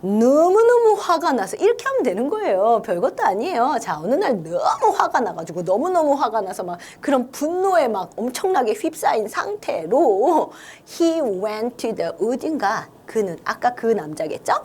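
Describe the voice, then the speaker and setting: A woman's voice: fairly high pitch, unhurried, hardly any pauses, breathy edge. A woman teaching an online English lesson, walking young students through a story line by line and coaxing them to think along. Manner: lively, coaxing, talks to students directly.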